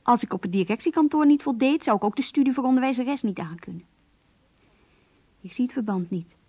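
A woman's voice: fairly high pitch, mellow, husky, no room sound. An actress playing an earnest, melancholy young office clerk reading her diary in a radio drama, introspective and subdued.

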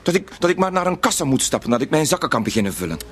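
A man's voice: on the high side, very animated, racing, a bit bright, slightly rough, heavily acted. A man playing a meek, defensive bank clerk in a radio drama, speaking hesitantly and in protest. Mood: agitated, indignant.

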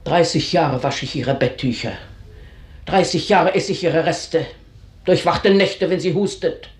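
Bitter old housekeeper, echoey room. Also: female voice, sits low, measured pace. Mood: bitter cursing. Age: older. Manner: earthy, scornful, theatrically expressive. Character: bitter, earthy older female housekeeper, gossiping and cursing her mistress. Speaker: actor in a radio drama. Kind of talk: German radio drama acting. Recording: roomy, echoing radio drama studio sound.

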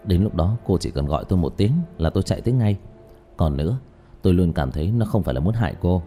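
A man playing a calm, matter-of-fact young male exorcist in an audiobook, speaking in a low-key, measured way. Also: soft background music. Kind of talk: audiobook character dialogue.

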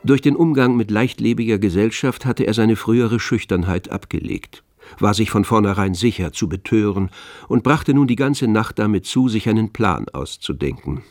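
Man as low-voiced, wry literary narrator. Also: normal speed, a little mellow, dry recording, studio quality, natural voice. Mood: detached. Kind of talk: scripted radio drama narration.

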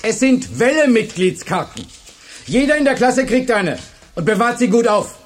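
An actor playing a charismatic, fervent male high school teacher in a German radio drama, speaking with commanding, emphatic rhetoric. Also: expressive, normal speed. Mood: commanding, rousing.

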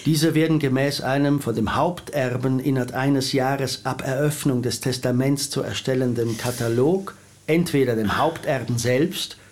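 An actor playing a formal, measured Swiss lawyer reading out a will in a radio drama. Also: male voice, medium pitch, steady tone, a little mellow, natural voice. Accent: Swiss German. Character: formal Swiss lawyer man, precise and soothing.

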